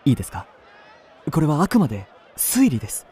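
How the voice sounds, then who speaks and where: A man playing a suave, well-bred young gentleman traveller in a radio drama, measured, polished and courteous. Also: a little high, wildly swooping pitch, slightly rough, some chatter behind, heavily acted. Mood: gallant, confident. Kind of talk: radio drama narration and dialogue.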